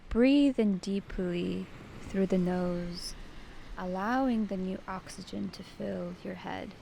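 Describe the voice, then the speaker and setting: A woman leading a guided meditation with gentle, soothing, unhurried instruction. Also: medium pitch, vocal fry, slight background noise.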